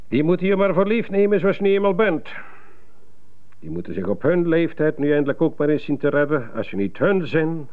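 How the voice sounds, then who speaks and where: An actor in a radio drama playing a paternal, patronising yet encouraging prison counsellor, musing and coaxing with little questions. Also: male voice, fairly high pitch. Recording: thin, phone-like narrow band.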